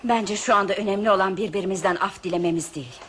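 A woman's voice: medium pitch, fast, slight background noise, close to an everyday voice. A woman playing a defiant, protective passenger in a radio drama, firm and reproachful. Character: defiant, protective female passenger, indignant and principled. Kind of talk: Turkish radio drama acting.

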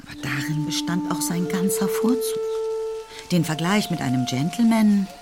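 A woman's voice: fairly low pitch, lively intonation, moderate pace. A woman giving scripted literary narration for a radio play. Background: music.

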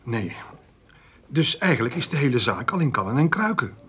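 A stubborn farmer in a naturalistic radio play. His voice is mid-pitched and somewhat husky. He speaks bluntly and repeats words as he digs in his heels. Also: very animated, moderate pace, fairly bright tone, heavily acted. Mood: curt, indignant. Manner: blunt, stammers and repeats words when agitated. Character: stubborn, indignant male farmer, wary of officials. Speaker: actor in a radio drama. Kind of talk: naturalistic radio drama acting.

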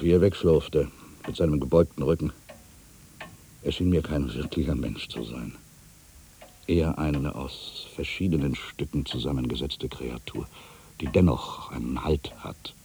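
An older man playing an ageing, bitter writer in a literary radio drama monologue, musing theatrically with rhetorical questions and pauses. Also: very deep voice, creaky, mellow, slight background noise. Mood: subdued, uneasy. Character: ageing male writer, brooding, bitter, addressing his past.

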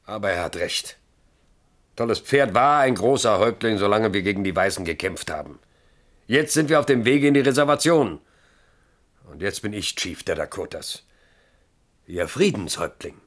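An actor playing a proud, pragmatic Dakota chief and father in a German radio drama, grave and measured. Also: fairly low pitch, animated, fairly bright tone. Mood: defensive, firm.

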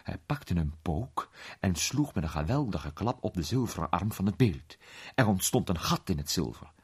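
Male audiobook narrator: low, husky, expressive delivery of literary prose. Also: normal speed.

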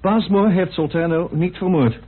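A man's voice: high voice, normal speed, lightly acted. An actor playing a grim, indignant man in a radio play, speaking emphatically and accusingly. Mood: bitter, accusing. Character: grim, indignant man bitterly revealing a hidden truth. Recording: muffled, narrow old radio recording.

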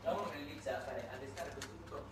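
A man narrating a solo stage monologue in a theatrical, measured way, with dramatic pauses. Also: mid-range voice. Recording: echoey noisy hall, distant microphone.

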